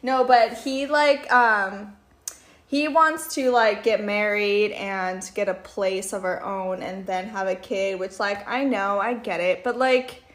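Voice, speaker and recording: A woman in her twenties chatting casually as a podcast host, slangy and profane, a little tipsy and quick to laugh. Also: medium pitch. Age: 20s. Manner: profane, animated storytelling with frequent laughter and slangy banter. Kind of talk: casual chat.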